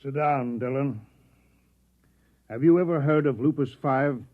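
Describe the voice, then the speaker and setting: A man's voice: medium pitch, unhurried, lightly acted. Man acting as no-nonsense colonel; mid-range voice, clipped briefing manner, very narrow vintage radio sound. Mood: grave, brisk. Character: fat, businesslike army colonel giving briefing. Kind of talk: 1950s radio drama acting. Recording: thin, phone-like vintage radio recording.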